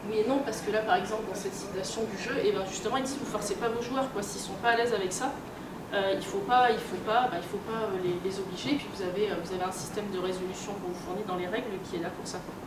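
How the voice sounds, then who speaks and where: A roomy, echoing recording with clear noise carries a woman giving an informal talk at a convention. She explains in loose, colloquial phrasing, often hesitating and filling pauses as she goes. Her pitch sits in the middle for a woman. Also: fairly even intonation. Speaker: speaker, role-playing game researcher and editor. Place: indoor room at a games convention. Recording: roomy, distant, noisy live convention recording.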